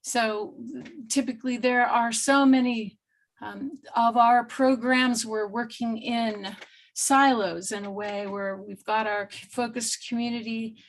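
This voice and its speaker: A woman's voice: fairly high pitch, fairly even intonation, poor audio. A woman who organizes community business support, giving a rambling introduction full of restarts on a panel video call. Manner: rambling, restarts a lot, speaks fast.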